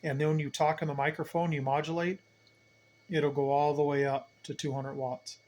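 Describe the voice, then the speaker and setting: A man's voice: fairly high pitch, little pitch movement, moderate pace, darkish tone. A man giving an unscripted hands-on equipment tutorial, plain-spoken and explaining step by step, repeating key points. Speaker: hobbyist demonstrator, channel host.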